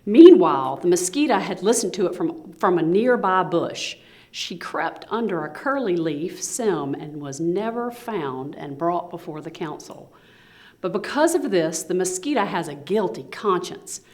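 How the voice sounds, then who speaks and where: A woman reading a picture book aloud to children in an expressive storytelling style. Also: fairly low pitch, measured pace. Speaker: volunteer children's story reader.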